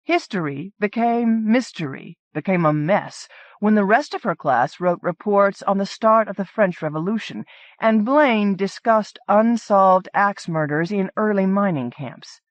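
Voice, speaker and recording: A woman reading a story as an audiobook narrator, in an expressive storytelling manner. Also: mid-range voice, unhurried, no echo, highs cut off. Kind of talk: scripted fiction narration.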